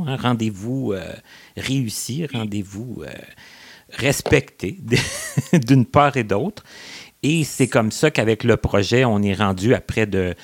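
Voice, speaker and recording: A man's voice: lowish voice, creaky. A man hosting a casual interview, chatty with many fillers and restarts. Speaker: interview host.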